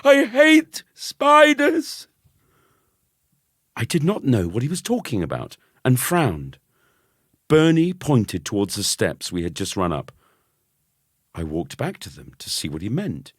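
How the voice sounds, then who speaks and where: A man narrating a children's story expressively, with dramatic and deliberate phrasing. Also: fairly low pitch, very animated, a little mellow, slightly rough, no echo, clean studio sound. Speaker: audiobook narrator.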